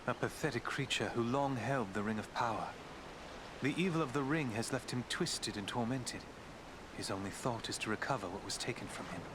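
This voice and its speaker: A man performs a noble elven prince for a fantasy video game. The voice sits at a medium pitch, composed and courtly, with formal, measured phrasing. There is clear ambient noise behind it. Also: lightly acted. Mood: regretful, grave. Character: male woodland elf prince, noble, composed, solemn.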